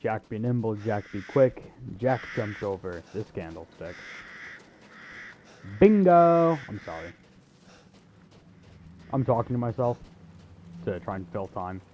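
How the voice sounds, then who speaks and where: A man's voice: on the low side, animated, takes it slowly, pauses often, mellow, dull audio, a little hiss or hum. A young man giving casual, joking game commentary in a rambling, sarcastic way. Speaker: gamer.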